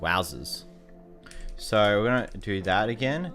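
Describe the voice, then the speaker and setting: Lively Australian man narrating gameplay. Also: fairly low pitch, measured pace, faint music behind. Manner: chatty, self-mocking, stumbles over reading. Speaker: gaming YouTuber. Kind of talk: casual game commentary.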